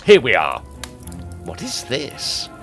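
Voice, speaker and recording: A man playing a courteous, methodical, seasoned police detective in a gothic audio drama, deliberate and deferential. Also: mid-range voice, huge pitch swings, over music, heavily acted. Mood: measured, curious. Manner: deliberate, deferential, slightly hesitant.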